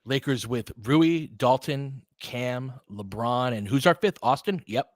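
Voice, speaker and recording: A man with a mid-pitched voice calls a basketball game in a very dry, close recording. He speaks in short, clipped phrases as the play unfolds and mixes in informal asides and casual analysis. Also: expressive, a little slow, dry recording. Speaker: play-by-play commentator.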